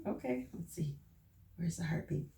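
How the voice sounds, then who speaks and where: A woman reads aloud to young children in a low voice with lively swings. She takes her time and asks encouraging questions as host. The room is echoey, with the mic at a distance and faint noise behind. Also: normal speed, gravelly.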